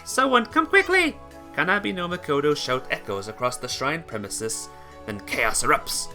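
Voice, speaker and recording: A man giving an expressive, theatrical reading of a visual novel as narrator, occasionally stumbling over words. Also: medium pitch, moderate pace, faint music behind.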